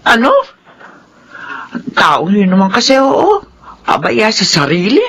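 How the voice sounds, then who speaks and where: A woman acting a brisk, scolding but concerned older aunt in a radio drama. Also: medium pitch, sing-song, fairly bright tone, slightly rough, exaggerated voice. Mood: chiding. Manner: brisk, chiding. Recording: faint background noise.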